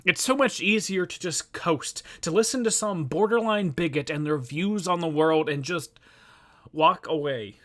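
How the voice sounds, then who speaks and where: A man plays a nervous young nonbinary film fan in a stage monologue. The voice sits fairly high for a male one. The speech rambles and stumbles over itself, self-deprecating throughout. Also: lightly acted. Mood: earnest. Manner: halting, rambling, self-interrupting. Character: anxious, self-deprecating nonbinary young moviegoer talking to a poster. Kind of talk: dramatic monologue reading.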